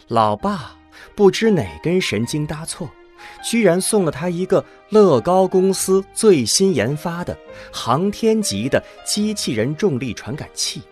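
A man reading scripted narration for a science fiction audio drama. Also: fairly high pitch.

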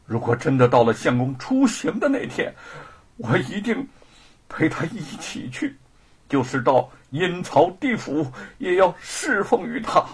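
A man's voice: on the high side, sing-song, a little slow, slightly rough, full character voice. A man voicing a devoted, resolute young wife in a told story, solemn and earnest.

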